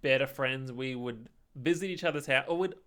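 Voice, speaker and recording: A young man co-hosting a podcast, chatting and telling stories informally, haltingly, often checking in with a questioning tag. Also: medium pitch, fairly bright tone, clean tone. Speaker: podcast co-host. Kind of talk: casual chat, storytelling.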